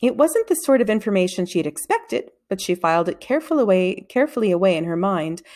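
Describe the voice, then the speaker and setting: A woman reading a children's story aloud as an expressive narrator. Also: mid-range voice, fast.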